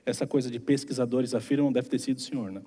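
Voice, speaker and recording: A man moderating a lecture Q&A, reading out audience questions in a dark, mellow voice. Long theater reverb hangs behind him. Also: medium pitch, fairly even intonation, fast talker. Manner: reads questions aloud, brief affirmations. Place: theater auditorium.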